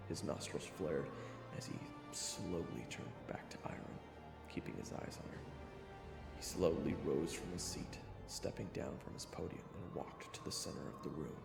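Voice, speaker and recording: A low-voiced man narrates a fantasy audio roleplay with a creaky edge and expressive swings. He tells it in the first person, dryly commenting as he goes. His voice echoes over a loud music bed. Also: normal speed. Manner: dramatic storytelling with wry asides. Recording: reverberant hall effect, loud music bed.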